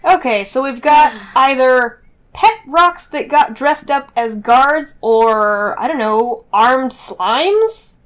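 A woman's voice: high voice, animated, takes it slowly, a bit bright, low-quality recording. A woman bantering casually as a tabletop game player, with a wry, joking manner.

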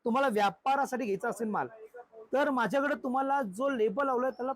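A man's voice: very high-pitched, fast talker, dry recording. A male shopkeeper, eagerly listing products in an informal sales explanation inside a shop.

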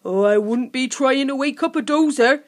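A woman's voice: high voice, moderate pace, pitched above the performer's own voice, natural voice. A woman voicing a dim, round-bellied male monster in a children's story, chattering in folksy broken grammar. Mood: helpful, chatty.

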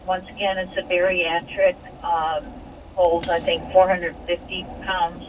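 Slow-spoken woman caller, noisy line. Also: on the low side, little pitch movement. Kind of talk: phone call listing items for sale. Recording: noisy phone call heard over radio.

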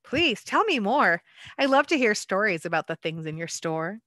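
A woman's voice: medium pitch, big pitch swings, normal speed, pushed and bright, no room sound, clean studio sound. A woman voicing a curious, eager young woman asking about things in a shop.

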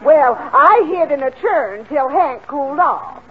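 A tinny old radio recording of an excitable, coy farm wife. She is high-pitched and animated, spinning her story in rustic speech for a comedy. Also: measured pace. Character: chatty, coy farm wife giving testimony. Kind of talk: 1940s radio comedy acting. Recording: tinny 1940 radio broadcast.

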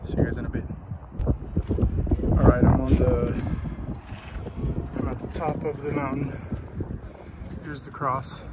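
A man's voice: medium pitch, a little slow, long pauses, heavy background noise. A man in his thirties vlogging outdoors on a mountaintop at night, talking casually through a headset mic with frequent ums. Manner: relaxed, plain-spoken, frequent ums. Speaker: vlogger testing radios. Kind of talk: casual vlog talk to camera.

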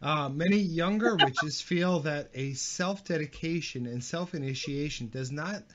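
A man's voice: on the high side, unhurried, background noise. A man hosting a podcast who rambles and repeats himself while reading viewer questions. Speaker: podcast host. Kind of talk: casual chat, reading viewer comments. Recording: dull lo-fi stream audio.

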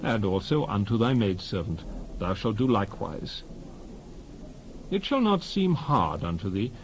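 A man giving a solemn, declamatory dramatized reading of scripture in measured phrases. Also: mid-range voice, darkish tone, slight background noise, poor audio. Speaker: Bible reader.

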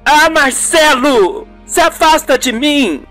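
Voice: high voice, lively intonation, slow pace, pushed and bright, voice pushed up, heavily acted. A performer voicing an irritated, evasive young woman in a radio soap opera, snappy and defensive. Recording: music behind the voice.

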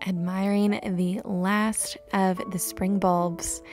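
A young woman narrating her homestead day in a calm voice-over, gentle and unhurried. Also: mid-range voice, soft background music. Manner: gentle, unhurried, with soft laughs. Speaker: homesteading vlogger. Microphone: voice-over recorded separately.

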